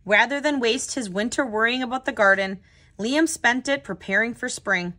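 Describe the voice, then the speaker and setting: A woman hosting a children's read-aloud as a tutor, telling the story with clear, expressive phrasing. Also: a little high, normal speed.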